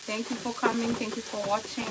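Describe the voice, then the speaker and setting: A woman with a Kenyan accent giving a casual cooking demonstration to viewers, explaining step by step in a halting, unhurried way. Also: on the high side, monotone. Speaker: home cook hosting a cooking tutorial. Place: kitchen, indoors. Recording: noisy kitchen, sizzling frying sounds. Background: frying sizzle, stirring, clicks.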